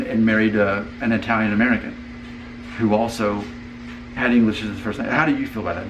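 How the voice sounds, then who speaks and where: A man's voice: fairly low pitch, noisy background. A man hosting a casual family conversation, earnest and thinking aloud with frequent restarts. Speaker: host.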